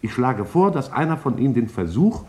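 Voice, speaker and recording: A man playing a vast alien cloud intelligence in a 1950s German radio drama, lecturing in a measured, formal, detached way. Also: mid-range voice, very animated, darkish tone, close to an everyday voice.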